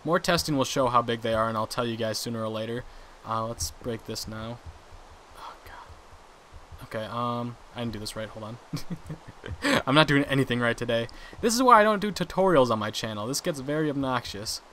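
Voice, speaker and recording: A young man casually commenting on his own gameplay, chatty and quick to laugh at his mistakes. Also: medium pitch, sharp tone, a little hiss or hum, poor audio. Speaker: gaming channel host.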